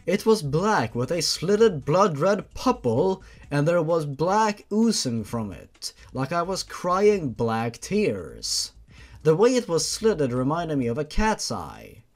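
A man reading fan fiction aloud as the host of a series, in a dramatic, mock-serious storytelling manner. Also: fairly high pitch.

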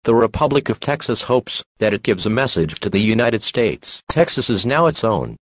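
A man's voice: fairly low pitch, big pitch swings, moderate pace, dry recording. A man reading a scripted emergency broadcast announcement in a formal, impersonal manner.

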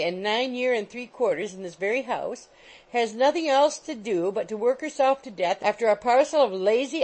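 A woman voicing an indignant, quarrelsome middle-aged landlady, in a loud scolding tirade. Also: medium pitch, poor audio. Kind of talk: audiobook character voice.

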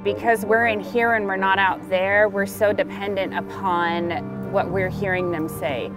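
A woman's voice: mid-range voice, fairly even intonation, a little slow. A middle-aged American woman speaking earnestly and plainly in an interview as a supervising dispatcher. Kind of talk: candid documentary interview about work. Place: dispatch center office, indoors. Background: music.

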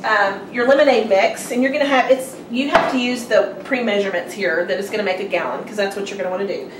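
A woman's voice: medium pitch, fast, heavy background noise. A middle-aged woman hosting a casual home cooking demo, chatty and upbeat. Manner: chatty, upbeat, loose instructions. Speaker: cooking show host. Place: home kitchen. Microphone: far camera. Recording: echoey kitchen from far off, clattering dishes.

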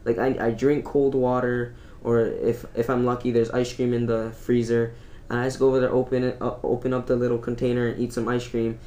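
A teenage boy giving casual, rambling commentary over his own gameplay recording at home, full of fillers and quick to complain. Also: mid-range voice, little pitch movement, dark tone. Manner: rambling, filler-heavy, easily irritated. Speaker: gaming YouTuber. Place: home room.

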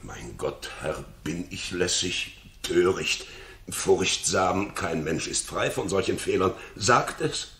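An honest, dignified courtier in a classical radio drama. The voice is extremely deep yet moves widely in pitch, formal and earnest, in a roomy, echoing sound. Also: male voice, animated, slow pace, bright, forceful tone, exaggerated voice. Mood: pleading earnest. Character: loyal, honest, dignified male courtier and royal counsellor. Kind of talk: 1960s German radio play, classical stage acting.

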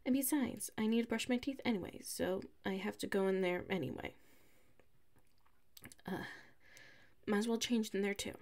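A woman playing a flustered, defensive female classmate with a secret crush in an ASMR audio roleplay, huffy and halting. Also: medium pitch, a little mellow, natural voice. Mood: huffy, scolding. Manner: halting, huffy, defensive, slips into muttered whispers.

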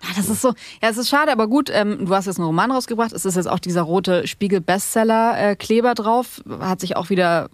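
A woman's voice: mid-range voice, brisk, few pauses, no room sound. A woman hosting a podcast, asking questions and commenting in a casual, conversational interview style.

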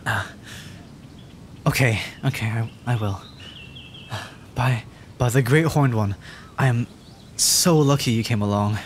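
A man's voice: mid-range voice, unhurried, a little hiss or hum, natural voice. A man playing a nervous, adorkable young dragon, injured and frightened, stammering and apologetic in an ASMR fantasy roleplay. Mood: grateful, shy. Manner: stammering, hesitant, rambling, apologetic. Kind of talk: ASMR roleplay audio drama.

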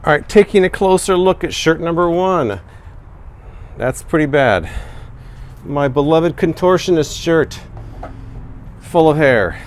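A man's voice: a little high, animated, a little slow, pauses often. A middle-aged American man in his forties giving a casual product review to camera. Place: covered outdoor patio and indoor laundry room. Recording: noisy background ambience.

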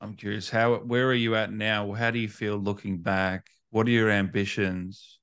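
A man's voice: lowish voice, level tone, moderate pace, fairly bright tone, clear, resonant tone, no echo, clean studio sound. A man hosting a gaming interview, chatting casually and putting questions to his guest. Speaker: interviewer, gaming channel host.

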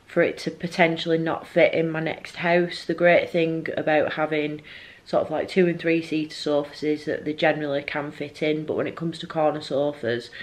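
A woman's voice: deep voice, level tone, moderate pace. A woman, talking casually to camera as a home vlogger in a hesitant, musing way. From North of England. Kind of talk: casual vlog talk to camera. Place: living room at home.

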